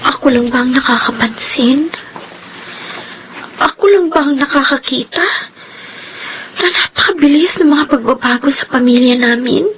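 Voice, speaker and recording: A woman's voice: high-pitched, normal speed, pauses often, a bit bright, muffled recording, a little hiss or hum. An actress with a Filipino accent playing an earnest, sentimental teenage girl in an emotive radio drama. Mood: hurt, wistful. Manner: emotive, plaintive. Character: earnest, sentimental teenage daughter, a twin sister.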